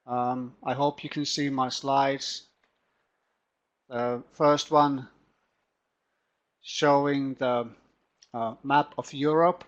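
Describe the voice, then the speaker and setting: A middle-aged man with a Finnish accent gives an online lecture as a teacher trainer, speaking haltingly and often repeating words. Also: mid-range voice, fairly even intonation. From Finland. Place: home study room. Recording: muffled lo-fi online call audio.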